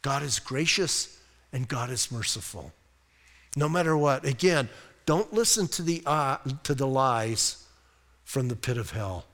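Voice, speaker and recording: A male pastor preaching a conversational, emphatic sermon. He asks rhetorical questions and reads scripture slowly and deliberately. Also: medium pitch, lively intonation, a bit bright. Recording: reverberant hall sound.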